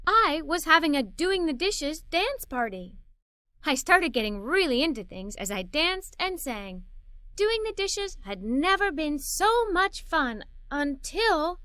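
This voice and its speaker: Children's storybook lead: curious, adventurous little girl, played by a woman, very lively and bright, expressive and playful. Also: high-pitched, dry recording, studio quality, heavily acted. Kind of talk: scripted children's story read-aloud.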